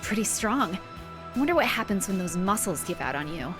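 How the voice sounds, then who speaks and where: Woman playing a cocky, animated superpowered brawler. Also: medium pitch, brisk, music in the background, lightly acted. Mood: taunting. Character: cocky, size-changing female fighter relishing a brawl. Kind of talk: audio drama acting.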